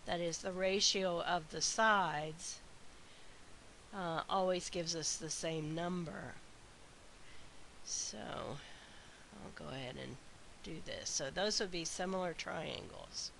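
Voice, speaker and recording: Stuffy, slow-talking woman teaching math. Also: lowish voice, lively intonation, creaky, fairly bright tone, rough recording. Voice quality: weak, stuffy voice. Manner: slow, methodical explaining with frequent uh and um. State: congested from a sinus infection. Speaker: math instructor. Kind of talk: recorded math lecture. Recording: faint hiss.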